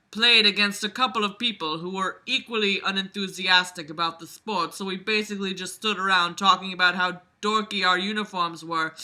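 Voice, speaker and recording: A woman's voice: medium pitch, bright, forceful tone. A woman reading a book aloud and critiquing it casually, blunt, exasperated and sweary, with sarcastic commentary.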